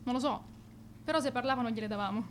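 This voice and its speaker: A woman's voice: on the high side, fast talker, a little hiss or hum, close to an everyday voice. A woman playing a cheeky, evasive, touchy teenage schoolgirl in a naturalistic radio drama, with a slangy, offhand, defensive way of talking. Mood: blunt, defensive.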